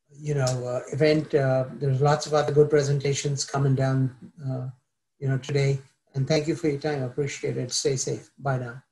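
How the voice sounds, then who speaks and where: A man, a startup founder, pitching his company to investors, halting with many fillers and restarts. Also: darkish tone, low-quality recording.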